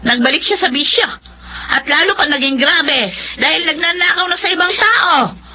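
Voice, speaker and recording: Sharp, indignant Filipina complainant. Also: female voice, very high-pitched, lively intonation, normal speed, full character voice. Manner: scolding, blunt. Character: indignant, unforgiving woman complainant, scornful theft victim. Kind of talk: Filipino radio drama acting.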